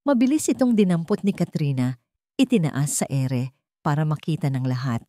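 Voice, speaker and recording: A woman narrates a dramatic story from a script. Her pitch sits on the low side, and the sound is very dry, with no room on it. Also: lively intonation, moderate pace, mellow, no room sound.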